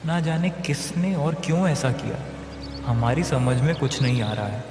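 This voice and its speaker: A man's voice: a little high, expressive, normal speed, background noise, close to an everyday voice. Man acting a troubled villager in echoey reverb. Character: distressed village man, pleading and respectful. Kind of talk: Hindi moral story cartoon dub. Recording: echoey reverb with clear background music.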